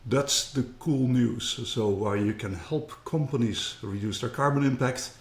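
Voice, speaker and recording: Middle-aged dutch guy, gravelly voice, earnest interview chat, echoey room. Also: mid-range voice, moderate pace. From Netherlands. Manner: earnest, repeats words when starting a thought. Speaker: guest, company co-founder.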